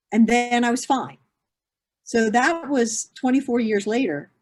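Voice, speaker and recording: A woman in her fifties talks through grief as a therapist. Her voice sits at a middle pitch, and her delivery is earnest and explanatory, full of fillers and restarts. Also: darkish tone. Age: 50s. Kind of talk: conversational interview answers.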